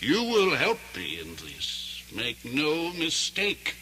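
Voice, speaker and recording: Scheming cruel sheriff in an old radio play, haughty and slow, swoops around, noisy recording. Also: male voice, fairly high pitch, huge pitch swings, full character voice. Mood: menacing. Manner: haughty, drawn-out, mock-courteous threats. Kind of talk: theatrical radio drama acting.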